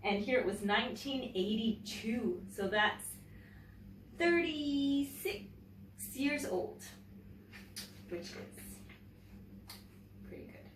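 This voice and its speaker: A woman teacher reading a picture book aloud to young children, with expressive, deliberate phrasing and chatty asides to her listeners. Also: on the high side. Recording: roomy, distant mic, faint background noise.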